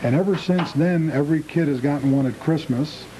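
A man's voice: fairly high pitch, a little fast, crowd noise, low-quality recording. A middle-aged American man giving conversational answers as an interview guest. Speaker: interview guest, appliance store proprietor and train collector.

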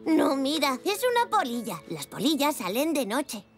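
A woman with a Castilian Spanish accent voicing an eager, curious young schoolgirl zebra in a children's cartoon, bright and polite. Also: high-pitched, very animated, normal speed, faint music behind. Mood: eager, friendly. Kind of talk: children's animated series dub.

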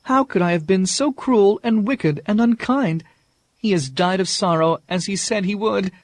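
A man voicing Beauty, a tender-hearted young woman, in a storybook reading, with a high anguished delivery. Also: normal speed, dry recording. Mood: grieving.

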